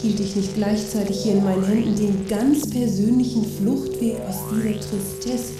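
A woman's voice: mid-range voice, steady tone, normal speed, lightly acted. Woman acting pushy condescending saleslady, radio play, super echoey and far off, music behind. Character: pushy, scornful female seller of escapist gadgets. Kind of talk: satirical radio play dialogue.

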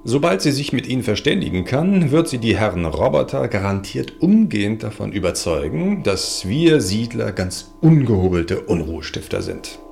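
A man playing a confident, sardonic sect leader in a science-fiction audio drama, with a creaky, gravelly voice. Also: fairly low pitch, sing-song, moderate pace, few pauses, a little mellow, soft background music. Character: confident, smooth-talking male sect leader and space settler.

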